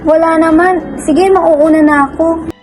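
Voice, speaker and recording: Woman playing a high-voiced, troubled young student. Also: fairly even intonation, unhurried, background noise. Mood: evasive. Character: troubled, fearful, hopeful young female student. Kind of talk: amateur radio drama acting.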